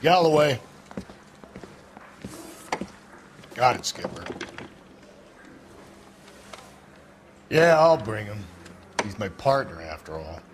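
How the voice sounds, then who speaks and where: Terse detective, noisy backdrop. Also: male voice, on the low side, big pitch swings, normal speed. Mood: resigned. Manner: terse, sardonic. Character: gruff, cynical veteran male homicide detective, bossy and sardonic. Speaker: police detective. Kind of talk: hard-boiled crime game acting. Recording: clearly noisy background.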